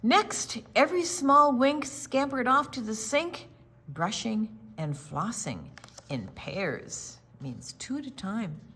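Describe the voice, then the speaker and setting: A woman's voice: a little high, very animated, takes it slowly, fairly bright tone, husky. A woman reading a picture book aloud to young children, expressively, with emphatic words and little explanations along the way. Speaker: children's storytime reader.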